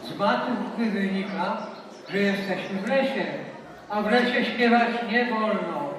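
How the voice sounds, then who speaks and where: A man's voice: high-pitched, unhurried, background noise. An actor playing a strict, pedantic male professor who lectures schoolchildren in a children's stage play. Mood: stern. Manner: stern, lecturing. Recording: echoey hall from far off, audience.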